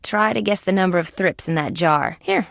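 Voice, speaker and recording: A determined young female insect detective for an adventure video game. Her voice sits a little low, with wry, conversational, clearly spoken delivery. The recording is very dry, with no room sound. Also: normal speed, fairly bright tone, dry recording. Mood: polite. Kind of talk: scripted adventure game voice acting.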